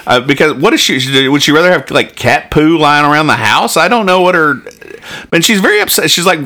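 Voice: medium pitch, animated, fairly quick, sharp tone. A podcast host chatting casually and jokingly about comic strips, often restarting as thoughts form.

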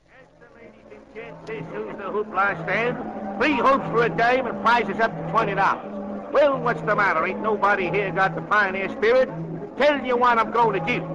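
A man's voice: high-pitched, normal speed, lightly acted. A man acting as a brash, slick carnival game barker in a 1940s radio play, with folksy, slangy patter. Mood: showy, jovial. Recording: dull, noisy 1940s radio broadcast.

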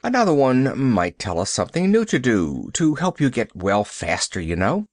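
A man's voice: mid-range voice, wildly swooping pitch, normal speed, dry recording, clean studio sound. A man voicing a stern, anxious middle-aged aunt who speaks stiffly and hesitantly in a storybook reading. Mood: gentle.

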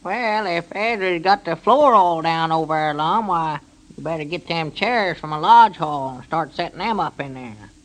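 An actor playing a fretful, thrifty old country storekeeper, folksy and complaining, with a rural Southern American accent in a 1930s radio comedy. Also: male voice, a little high, moderate pace, a bit bright, natural voice, low-quality recording. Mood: folksy, practical. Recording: 1930s radio broadcast recording.

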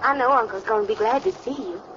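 A woman's voice: fairly high pitch, quick pace, lightly acted. An actress playing a sweet, coy young woman in an old radio crime drama. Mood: sweet, chatty. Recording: muffled, noisy old radio recording.

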